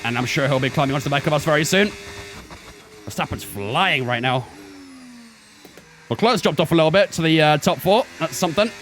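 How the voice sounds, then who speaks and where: A man's voice: on the high side, animated, brisk, long pauses, a bit bright, a little hiss or hum. A man in his twenties streaming a racing game, giving chatty running commentary and talking to his chat. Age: 20s. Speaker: gaming streamer. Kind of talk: casual live-stream commentary while racing.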